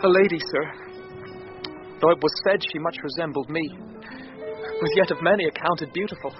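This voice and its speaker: An actor playing a courteous, grieving young gentleman in a classical Shakespearean radio play, with formal, earnest delivery. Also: fairly high pitch, normal speed, music in the background, lightly acted. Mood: melancholy. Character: courteous, melancholy young gentleman grieving his drowned sister. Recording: muffled radio drama recording.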